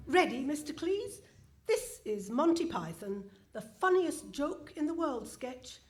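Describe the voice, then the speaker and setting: A woman's voice: high voice, big pitch swings, measured pace. A woman playing a naive, puzzled recording producer with a questioning manner in a live radio comedy play. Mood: businesslike. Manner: hesitant, questioning. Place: hall stage in front of a live audience.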